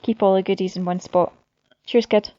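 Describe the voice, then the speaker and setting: A woman plays a brash drifter in her late twenties in a radio drama. She is sardonic, cheeky and foul-mouthed, at a medium pitch. The recording is very dry and a little dull. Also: fairly even intonation, normal speed, dark tone, no room sound, lightly acted. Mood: breezy, cheeky. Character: brash, sardonic hard-drinking woman of nearly thirty. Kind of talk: horror mystery radio drama acting.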